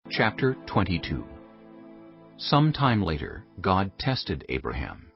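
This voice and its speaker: A man narrating a dramatized Bible as a scripted story, solemn and clearly phrased. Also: deep voice, wildly swooping pitch, slow, highs cut off, over music.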